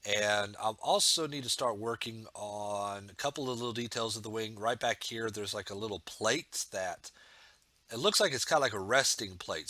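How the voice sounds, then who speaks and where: A man with a fairly low, bright and forceful voice talks through his build as he works, rambling and thinking aloud with plenty of fillers. He is getting over a sore throat and sniffles now and then. The sound is close and dry, with no room behind him. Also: no room sound. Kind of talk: casual build commentary.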